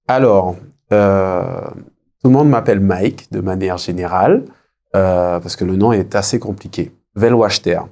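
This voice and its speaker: Low, mellow-voiced man in his thirties. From French Guiana. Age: 30s. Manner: hesitant, reflective, pausing often. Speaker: interview guest. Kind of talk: conversational interview answers.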